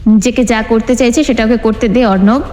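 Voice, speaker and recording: A woman's voice: fairly high pitch, steady tone, a little fast, dark tone, natural voice. A woman voicing a bossy, teasing young woman in an audio story, firm and mock-sweet. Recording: long hall reverb with music bed.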